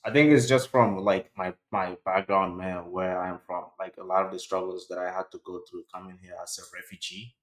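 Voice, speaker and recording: A young man from Sudan talks as an interview guest in a relaxed, conversational way, his words full of "like" and "bro". His voice sits fairly low. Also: normal speed, few pauses. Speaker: guest, cloud computing worker. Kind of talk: casual interview answers.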